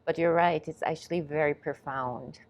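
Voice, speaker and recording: A woman with a notably low voice, from Ghana and raised in England, speaks as an interview guest. She talks in a thoughtful, measured way and laughs softly now and then. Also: steady tone, lo-fi sound. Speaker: guest, movement and reflex specialist. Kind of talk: interview answers.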